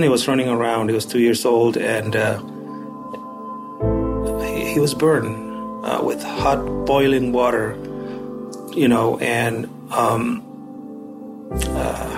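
Middle-aged man from Somalia, mid-pitched, halting emotional recollection over music. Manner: halting, emotional, with many fillers. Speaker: refugee services director. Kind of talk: interview recollection.

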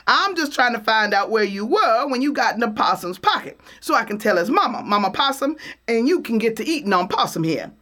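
A woman voicing a sensible, chatty male rabbit in a folk tale, lively and incredulous. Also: a little high, a little fast. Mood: coaxing.

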